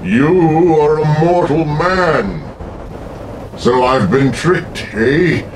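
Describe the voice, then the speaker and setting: A man's voice: a little high, lively intonation, drawn-out words, far from the mic, pitched above the performer's own voice. A man voicing an imperious, menacing ghoul sorcerer in grandiose, commanding radio horror style. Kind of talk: old-time radio horror acting. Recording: noisy, off-mic recording.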